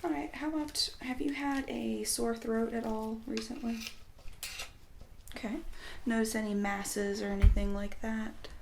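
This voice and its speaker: A woman doing ASMR, playing a doctor at a checkup, soft-spoken and often whispering as she asks gentle questions close to a sensitive mic. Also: a little high, vocal fry, a little hiss or hum. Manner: slow, gentle clinical questions. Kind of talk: ASMR medical exam roleplay.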